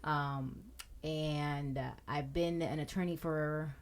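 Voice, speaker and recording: A thirty-year-old woman from Puerto Rico, a guest in a casual interview, loud and talkative. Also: low voice, steady tone, slow. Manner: loud, talkative, swears and laughs often.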